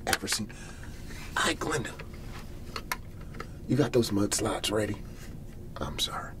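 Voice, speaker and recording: A man playing a folksy, excitable garage-sale salesman in an ASMR roleplay, whispery and breathy, rambling and repeating his hard sell. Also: fairly low pitch, a little slow, noisy background. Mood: eager, confiding, then rambling, indulgent. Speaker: host playing a character.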